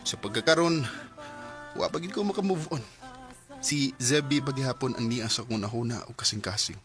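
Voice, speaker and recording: A man's voice: medium pitch, moderate pace, over music. A man narrating and playing the lead in an amateur radio drama, with an emotional, heartbroken delivery. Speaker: storyteller and lead player.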